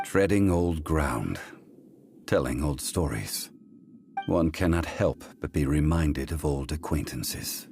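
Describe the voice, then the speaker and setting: An actor voicing an ancient, calm male god who tells old stories in a grave, formal way. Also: gravelly, faint music behind, full character voice. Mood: reflective. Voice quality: deep, measured, grave. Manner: slow, formal, unhurried storytelling. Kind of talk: game voice acting.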